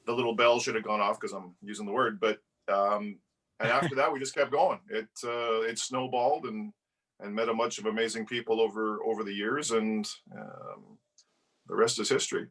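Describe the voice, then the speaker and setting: A man's voice: lowish voice, steady tone, moderate pace, a bit bright, far from the mic, poor audio. A man in his fifties, a podcast guest recalling his past in a laid-back, joking way with mild swearing. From Edmonton, Alberta, Canada. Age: 50s. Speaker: guest, club player. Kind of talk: casual storytelling interview.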